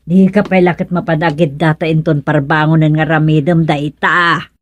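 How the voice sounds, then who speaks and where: A woman's voice: deep voice, fairly even intonation, moderate pace, a little hiss or hum, close to an everyday voice. A woman playing the nagging wife of an old villager in an Ilocano radio comedy.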